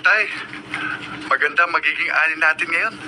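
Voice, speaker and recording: An actor playing a cheerful young farmer and older brother in a radio drama. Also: male voice, a little high, normal speed, over loud music, close to an everyday voice. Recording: noisy radio broadcast recording.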